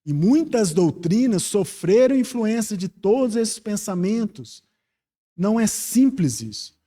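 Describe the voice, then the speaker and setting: A Brazilian man teaches scripture as a preacher. His voice sits high for a man and has a soft, mellow tone. He speaks earnestly and reflectively, often in rhetorical questions. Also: big pitch swings, a little slow. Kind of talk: expository Bible teaching sermon.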